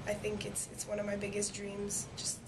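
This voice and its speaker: A woman's voice: mid-range voice, completely flat delivery, normal speed. A young woman from Montreal whose first language is French, answering interview questions eagerly, with plenty of fillers and restarts. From Montreal, Quebec. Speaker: singing competition winner. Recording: noticeable background noise.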